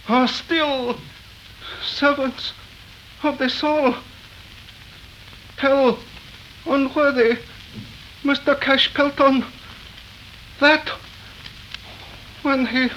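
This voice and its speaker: High-voiced, slow, fatalistic man. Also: a bit bright, heavily acted. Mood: solemn, weakening. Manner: formal, halting, solemn proverb-like delivery. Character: serene, fatalistic old-world Chinese man, humble and cryptic. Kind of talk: 1930s radio melodrama acting. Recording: dull, noisy 1930s radio recording.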